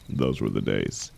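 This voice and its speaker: A man playing a seasoned ex-mercenary warrior in an intimate fantasy audio drama, gruff but kindly, gently teasing and unhurried in his talk. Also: extremely low pitch, slight background noise, full character voice. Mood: wistful.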